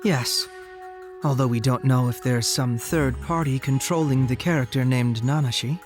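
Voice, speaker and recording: A man's voice: mid-range voice, normal speed, lightly acted. Cool, probing young ninja, played by a man. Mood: analytical. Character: cool, accusing young male ninja teammate. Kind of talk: anime video game dub acting. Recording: prominent background music.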